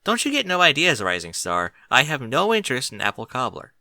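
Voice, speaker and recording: Man voicing a snarky, hushed young mare. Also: medium pitch, wildly swooping pitch, fairly quick, no room sound, studio quality, heavily acted. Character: catty, gossiping young female pony.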